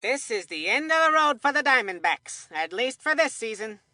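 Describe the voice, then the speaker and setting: An excitable little boy calls baseball for a cartoonish kids' game. The voice is very high and forceful, with a theatrical, earnest announcer delivery. The sound is dry and close. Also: normal speed, no echo. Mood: mournful, resigned. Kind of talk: animated sports commentary for a kids' video game.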